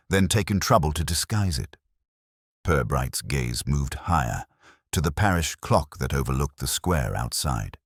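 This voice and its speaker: A man narrating a scripted mystery story in a measured, dryly wry manner. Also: extremely low pitch, breathy edge, dry recording, clean studio sound.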